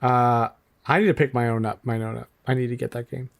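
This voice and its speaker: A man co-hosting a retro gaming podcast in casual chat, enthusiastic and quick to laugh, often repeating words. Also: medium pitch, steady tone, creaky, no echo, studio quality. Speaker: podcast co-host.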